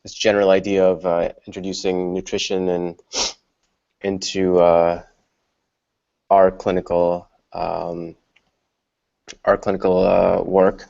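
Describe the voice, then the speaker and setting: A man speaking as a guest on an online panel, measured and hesitant with many fillers. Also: deep voice, flat delivery, vocal fry, darkish tone. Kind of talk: casual panel discussion. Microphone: video call. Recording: slightly muffled video-call audio.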